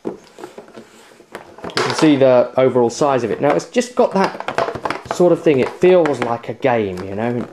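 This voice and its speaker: Male host for a tabletop game unboxing: mid-pitched, informal, jokey, a bit theatrical. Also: animated, measured pace, pauses often, noisy background. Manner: playful, jokey, theatrical flourishes, chatty. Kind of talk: casual unboxing review to camera.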